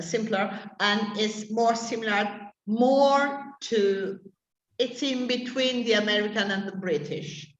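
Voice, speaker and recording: A woman teaches English to adults at an unhurried pace, her voice mid-pitched and ringing in an echoey room. She stops often to search for words mid-sentence. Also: fairly bright tone. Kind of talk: classroom explanation to adult learners.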